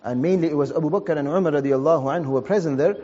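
A man's voice: on the high side, racing, dark tone. A man giving a religious talk, telling a story and reciting Arabic phrases. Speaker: Islamic preacher. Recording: lo-fi with long reverb.

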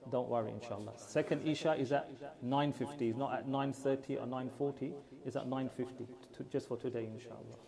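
Earnest imam addressing a mosque crowd. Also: male voice, medium pitch, fairly even intonation, fairly quick, creaky. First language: not Urdu. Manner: earnest, repeats phrases for emphasis. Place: large mosque hall with congregation. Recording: crowd audible behind.

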